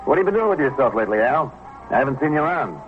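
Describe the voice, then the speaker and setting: An actor playing a wry veteran New York police detective, sardonic and mock-sincere, in old-time radio drama style. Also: male voice, medium pitch, sing-song, very fast, soft background music, lightly acted. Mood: casually probing. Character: wry veteran New York plainclothes cop, slyly needling suspects. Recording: tinny, noisy vintage radio recording.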